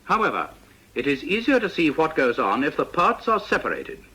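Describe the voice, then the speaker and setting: A British man narrates an old instructional film in a precise, didactic style. His voice sits a little high and swings in pitch to stress key words. It is bright and forceful, with measured, scripted delivery. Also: sing-song, husky, low-quality recording.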